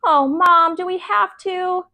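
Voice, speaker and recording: Woman as an exasperated high-voiced schoolboy. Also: slow, sharp tone, exaggerated voice. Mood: reluctant. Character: exasperated fourth grade boy, put-upon big brother.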